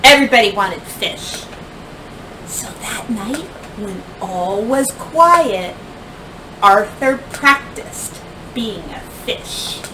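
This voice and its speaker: A woman teacher reading a children's picture book aloud expressively, with deliberate phrasing, dramatic pauses and emphasis. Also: medium pitch, expressive. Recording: distant mic, faint background noise.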